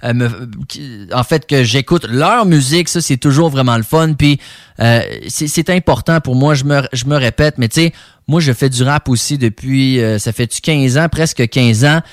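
A man's voice: mid-range voice, normal speed, no room sound, clean studio sound. A man with a Québécois French accent casually hosting a rap radio show, speaking informally and repeating words for emphasis.

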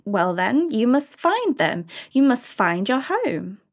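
A woman's voice: on the high side, big pitch swings, slow, heavily acted. A woman voicing a lonely grown man, once a charlatan, asking earnest questions.

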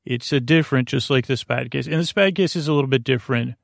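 A man hosting a sleep podcast in a creaky voice, lulling and soothing, meandering off into tangents. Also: mid-range voice, quick pace, dry recording, studio quality. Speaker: podcast host. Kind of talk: rambling bedtime sleep-podcast monologue. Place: small closet.

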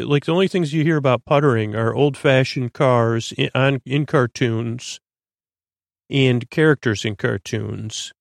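Male podcast host for bedtime listening: creaky, close dry sound, lulling, meandering and halting. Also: mid-range voice, no room sound. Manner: meandering, stammering, trails into tangents; soothing, lulling delivery. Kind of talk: rambling bedtime monologue.

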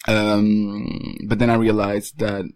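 A young man from Portugal, a photographer, talking casually as an interview guest with many pauses and restarts. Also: on the low side, monotone, darkish tone, clear, resonant tone, no echo.

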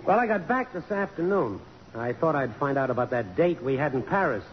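A man's voice: medium pitch, big pitch swings, fairly quick, close to an everyday voice. An actor playing a brash, teasing male photographer and old flame, glib, sardonic and insistent, in 1950s radio drama style. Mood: needling, flirtatious. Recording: dull, reverberant, noisy old radio broadcast.

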